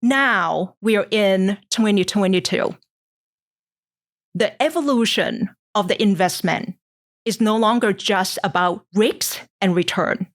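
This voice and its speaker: Middle-aged woman, gravelly voice, dry close sound, measured explanations. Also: mid-range voice, no room sound. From Thailand. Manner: measured, explanatory, frequent ums and uhs. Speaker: guest investor. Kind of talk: podcast interview answers.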